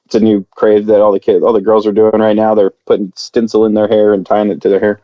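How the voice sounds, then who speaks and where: A man's voice: lowish voice, monotone, quick pace, dry recording, dull audio. A man chatting casually on a podcast, telling a long story with lots of fillers and restarts. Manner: rambling, many fillers and restarts. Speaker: podcast co-host.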